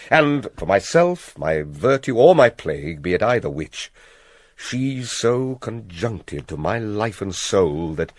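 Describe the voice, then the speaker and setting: Scheming Shakespearean king: British, persuasive, theatrical, widely swooping pitch, dry close sound. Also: no echo. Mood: confiding, persuasive. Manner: theatrical, measured with dramatic pauses. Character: scheming king, smooth, persuasive, plotting murder. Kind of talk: classical Shakespearean stage-style acting.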